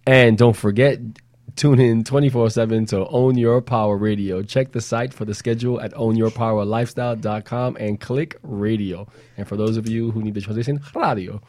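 A man's voice: fairly low pitch, fairly even intonation, moderate pace, darkish tone, no room sound. A man co-hosting a radio show, bantering casually and making announcements in a jokey, playful way. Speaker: radio co-host.

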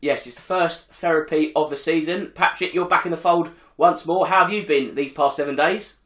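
Hoarse, chatty man hosting a podcast. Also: fairly high pitch, normal speed, fairly bright tone, echoey room. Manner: upbeat, chatty presenter. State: hoarse from a chest infection. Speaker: podcast host. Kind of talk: casual football podcast chat.

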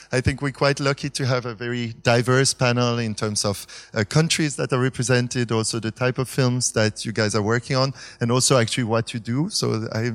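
A man from Switzerland informally moderating a live panel, speaking in a hesitant, hedging way. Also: medium pitch. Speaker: panel moderator, marketing agency founder. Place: talk in front of an audience.

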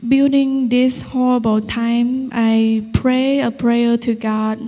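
Woman, slow halting english, mellow voice, hall reverb. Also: fairly high pitch, little pitch movement. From Cambodia. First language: Vietnamese. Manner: halting, simple phrasing. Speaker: guest. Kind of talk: testimony interview.

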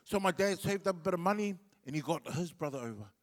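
A middle-aged man with a New Zealand accent and Samoan as his first language tells a loose, rambling comic story on stage. His voice sits a little high for a man, and long reverb from the hall trails behind each phrase. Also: lively intonation, fast talker. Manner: laid-back and rambling, with many fillers. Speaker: comedian. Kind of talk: stand-up storytelling.

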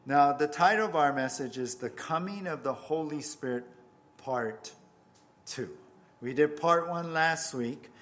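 Man preaching a relaxed, chatty sermon. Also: medium pitch. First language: Japanese. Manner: conversational, self-deprecating with restarts. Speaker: pastor.

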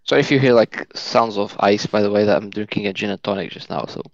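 A man's voice: on the low side, fast talker, creaky. A man from Portugal, a Portuguese native, chatting as a podcast guest, hesitant with many fillers and restarts. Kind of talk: casual chat. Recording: dull lo-fi dry sound.

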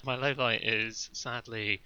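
A man on a horror podcast panel giving blunt, animated opinions and laughing often. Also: medium pitch, slow, muffled recording, crowd noise. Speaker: genre writer, podcast panelist. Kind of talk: casual podcast chat.

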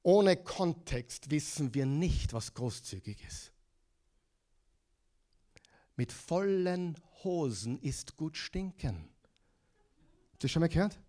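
High-voiced Austrian man preaching. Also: slow pace, pauses often. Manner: lively preaching, asks the congregation to repeat words. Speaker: pastor. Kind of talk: sermon.

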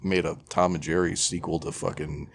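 A man's voice: low-pitched, level tone, moderate pace, slight background noise. A man co-hosting a podcast, bantering casually about movies in slangy, foul-mouthed talk. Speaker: podcast co-host.

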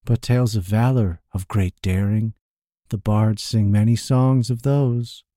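A sly, smooth-talking rogue, as a male narrator plays him, purring his words slowly and grandly. His voice sits low and warm, and the sound is dry and close. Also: steady tone, dry recording, lightly acted.

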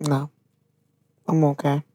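A woman's voice: sits low, little pitch movement, takes it slowly, mellow. A woman chatting casually on a podcast, frank and dismissive, with some swearing. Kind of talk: casual chat.

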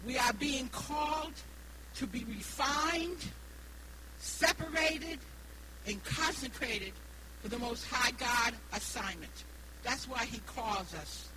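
A woman in her sixties with a New England accent preaches haltingly, repeating phrases and stressing key words. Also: sits high. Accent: New England American. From Rhode Island, United States. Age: 60s. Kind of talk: evangelical sermon with personal testimony. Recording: noisy live recording with hum.

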